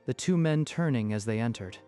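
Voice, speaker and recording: A man's voice: mid-range voice, lively intonation, brisk, dark tone. A man narrating a scripted dramatic story in a literary storytelling style. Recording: music behind the voice.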